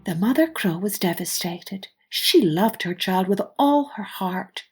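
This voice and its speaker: Slow-paced woman telling children's stories. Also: medium pitch, slightly rough, faint music behind. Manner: expressive, deliberate phrasing. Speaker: storyteller and podcast host. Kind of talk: scripted children's story narration.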